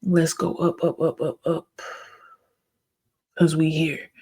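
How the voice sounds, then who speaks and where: A soft-spoken reaction host who talks slowly and gently, chatting straight to the viewers. The voice is gravelly and a little husky. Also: mid-range voice, level tone. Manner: soft-spoken, calls it an ASMR voice; chatty, speaks directly to viewers. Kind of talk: casual reaction commentary.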